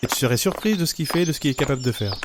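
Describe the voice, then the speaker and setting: A man's voice: mid-range voice, expressive, rapid-fire, background noise, lightly acted. A man playing a patient, insistent young friend in an audio drama, reassuring and plain-spoken. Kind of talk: amateur audio drama acting.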